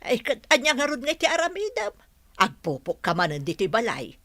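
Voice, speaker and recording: A nagging mom in a radio play, voice jumps around a lot, bright tone. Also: female voice, fairly high pitch, huge pitch swings, a little fast, no room sound, heavily acted. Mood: fussing. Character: mother nagging her grown daughter. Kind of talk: radio drama acting.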